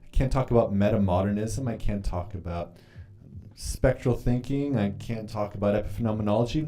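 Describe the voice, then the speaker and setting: A man's voice: on the low side, warm tone. A man whose first language is Polish, chatting casually on a live stream while journaling, rambling and swearing freely as he reads comments. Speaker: livestreamer reading chat.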